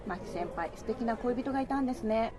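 A woman's voice: on the high side, expressive, a little fast, close to an everyday voice. A woman playing a polite, curious young junior coworker in a Japanese radio drama. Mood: dreamy.

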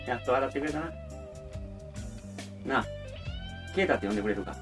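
An actor playing a pushy, cocky, flirtatious suitor in a romantic radio drama, with rough, teasing speech. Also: male voice, mid-range voice, lively intonation, a little fast, fairly bright tone. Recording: faint music behind the voice.

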